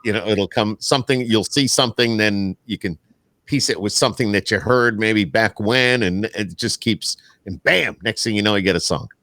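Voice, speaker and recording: A middle-aged American man with a fairly low voice, recorded dry and close. He hosts in an easy, conversational podcast style. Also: normal speed, fairly bright tone, no room sound. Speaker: podcast host and radio announcer. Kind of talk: casual podcast chat.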